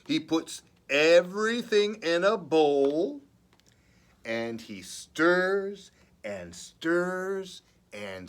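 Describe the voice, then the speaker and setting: Children's librarian, male, very unhurried delivery with lively swings in pitch, expressive read-aloud with playful asides.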